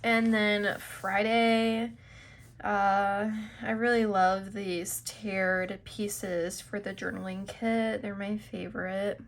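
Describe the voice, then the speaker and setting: Unhurried woman, a little stuffy-nosed. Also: medium pitch, level tone. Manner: chatty, unhurried, with fillers and small laughs. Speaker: planner video creator. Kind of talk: casual planner flip-through narration.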